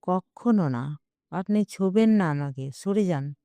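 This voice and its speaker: A proud, wronged young princess of an audio story, played by a woman. She is bitter and defiant, yet her delivery is slow. The voice is smooth and recorded dry. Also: fairly low pitch, animated, no echo. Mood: angry. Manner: sharp-worded, defiant. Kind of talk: audio story character reading.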